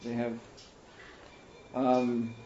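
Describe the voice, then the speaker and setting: Mellow-voiced man teaching in a roomy hall. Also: mid-range voice, completely flat delivery, muffled recording, a little hiss or hum. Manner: thoughtful, unhurried, with pauses and soft laughs. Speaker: spiritual teacher answering questions. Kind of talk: question and answer talk.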